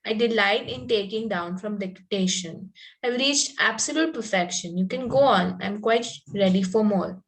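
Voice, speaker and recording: A woman's voice: mid-range voice, moderate pace, lightly acted, rough recording. A woman reading the part of a fanciful, coy Victorian young lady in an amateur table read, prim and earnest, with occasional stumbles.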